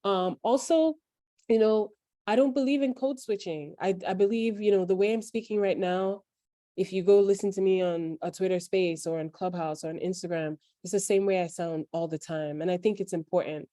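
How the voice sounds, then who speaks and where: A woman's voice: mid-range voice, normal speed, mellow, dry recording, studio quality. A woman with a Nigerian accent mixed with a New York accent, earnestly giving career advice as an interview guest. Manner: earnest, advising, speaks up plainly. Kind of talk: interview conversation.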